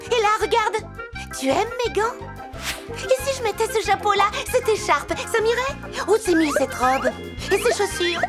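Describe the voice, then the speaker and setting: A woman voicing a sociable, bossy, childlike animal friend in a French children's cartoon, with animated, expressive delivery. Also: extremely high pitch, unhurried, full character voice. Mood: vain, excited. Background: children's jingly cartoon music.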